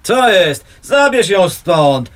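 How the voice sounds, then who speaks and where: An actor playing a gruff, officious, impatient housing estate caretaker in a radio drama. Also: male voice, sits high, big pitch swings, slow pace, heavily acted. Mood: irritated. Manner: bossy, impatient. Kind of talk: Polish radio drama acting.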